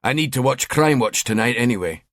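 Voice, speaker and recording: A man's voice: mid-range voice, animated, a little fast, a bit bright, no room sound, clean studio sound, natural voice. A man voicing a cynical middle-aged detective with a Scottish accent, grumbling and blunt.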